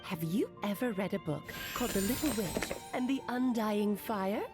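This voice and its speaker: A woman's voice: medium pitch, lively intonation, normal speed, natural voice. A woman voicing a grand, playful female mage who narrates with dramatic storybook flourishes, in video game dialogue. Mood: storytelling. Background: game music.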